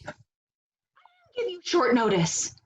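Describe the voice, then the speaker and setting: A woman's voice: on the high side, very animated, measured pace. A woman playing a bossy, exasperated protest organizer in a video-call comedy, with pointed, sarcastic emphasis. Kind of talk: comedic stage acting over video call. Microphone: video call microphone.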